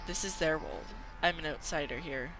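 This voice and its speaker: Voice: mid-range voice, normal speed, noisy background. An amateur video game player reading a visual novel aloud dramatically, sometimes stumbling and repeating words.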